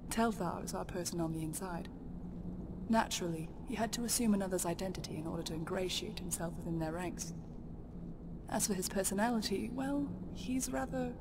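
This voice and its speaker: Woman playing a composed, formal High Elf leader, noisy background. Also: natural voice. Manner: formal, measured, prudent. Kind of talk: video game character dialogue. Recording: clear background game ambience noise.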